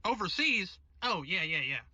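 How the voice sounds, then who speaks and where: A brash, drunken New York man, played by an actor for radio drama, slangy and belligerent. His voice is piercingly bright and swings widely in pitch, captured close and dry. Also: very animated, moderate pace, no echo, exaggerated voice. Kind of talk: old-time radio drama acting.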